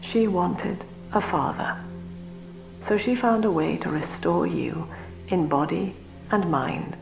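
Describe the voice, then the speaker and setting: An actress playing a cold, cerebral middle-aged cult leader and mother in a video game, speaking in measured, solemn, precise oratory. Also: on the low side, creaky, music in the background, rough recording. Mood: bitter. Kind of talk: dramatic video game monologue.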